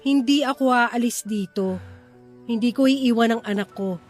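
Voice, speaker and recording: A woman's voice: a little high, normal speed, lightly acted. A woman with a Filipino accent playing a stubborn, protective young wife and mother in a radio-style drama. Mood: grieving, defiant. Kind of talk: radio-style romance drama acting in dialogue. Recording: music under the voice.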